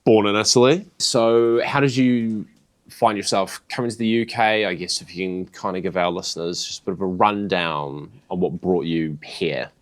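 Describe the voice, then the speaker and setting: A man's voice: low-pitched, normal speed. A man hosting a casual interview, talking informally and supportively with loose asides. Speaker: podcast host, interviewer.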